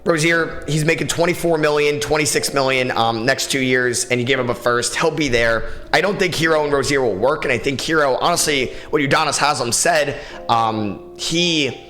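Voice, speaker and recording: Raspy-voiced man. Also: mid-range voice, moderate pace, hardly any pauses, bright, forceful tone. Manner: casual, opinionated, many fillers. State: tired, short on sleep. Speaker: podcast host. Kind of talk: solo sports podcast commentary.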